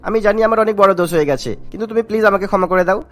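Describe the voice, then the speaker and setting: A man's voice: high-pitched, very fast, a bit bright, faint music behind. A man voicing an earnest, apologetic young man in a cartoon, explaining himself and pleading.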